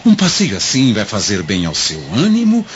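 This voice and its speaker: A man playing a sensible Roman senator and concerned older friend in a radio drama, with an expressive, emphatic delivery. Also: on the low side, normal speed. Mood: reassuring. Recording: dull, noisy lo-fi radio drama recording.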